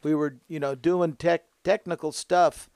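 A man's voice: a little high, fairly even intonation, unhurried, creaky, a little mellow, clear, resonant tone, no room sound, clean studio sound. A man in his seventies, a musician casually recalling his younger days in an interview. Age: 70s. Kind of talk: casual reminiscing.

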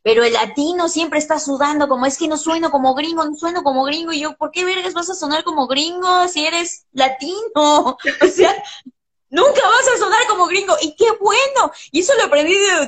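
A woman's voice: high voice, a little fast, fairly bright tone. A woman, an English teacher talking in a casual interview, blunt and passionate, swearing freely.

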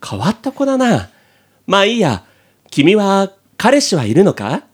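Man playing a lively, teasing male suitor. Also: high-pitched, unhurried, breathy edge, pitched above the performer's own voice, exaggerated voice. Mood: curious. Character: nonchalant, curious male suitor flirting in a hotel room. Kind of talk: amateur comic radio drama.

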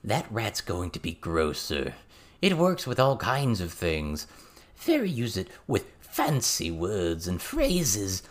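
Crude little goblin tutor for an audiobook, male narrator acting, excitable, theatrical, swinging widely in pitch. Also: slow pace, full character voice. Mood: halting, explaining.

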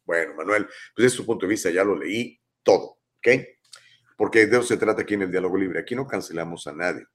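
A man in his fifties hosts a live talk show, reading and answering viewers' comments. His voice sits fairly low, and he talks in an animated, emphatic way, full of rhetorical questions. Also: fast. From Mexico. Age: 50s.

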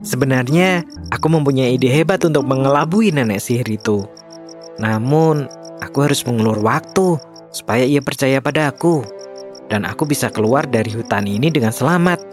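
A man's voice: mid-range voice, moderate pace, faint music behind, lightly acted. A man playing a clever, polite young captive who tells stories earnestly in a children's storybook drama. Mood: scheming, thoughtful.

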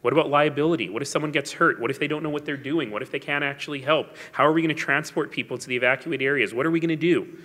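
A man's voice: on the high side, racing, pushed and bright. Man speaking in a reverberant hall. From Canada. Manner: storytelling, wry asides, emphatic. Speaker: mayor giving a luncheon speech. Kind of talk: public speech with humor. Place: large hall.

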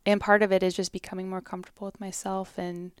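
A woman with a soft voice speaking as a musician guest in a casual interview, hesitant and full of fillers and restarts. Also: medium pitch, flat delivery, quick pace, clean tone, dry recording.

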